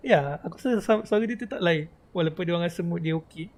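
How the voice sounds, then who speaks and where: A woman's voice: on the low side, rapid-fire, darkish tone, slight background noise. A woman with a Malaysian accent, a native Malay speaker, giving casual, teasing livestream commentary on a game. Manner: informal, teasing, chuckling.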